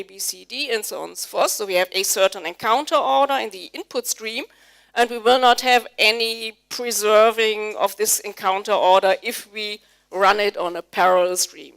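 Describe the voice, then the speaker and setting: Smooth-voiced woman giving a technical talk. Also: mid-range voice, a bit bright. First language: German. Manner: explanatory, polls the audience with questions. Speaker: conference speaker, Java trainer. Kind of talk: live technical conference talk. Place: conference hall before an audience.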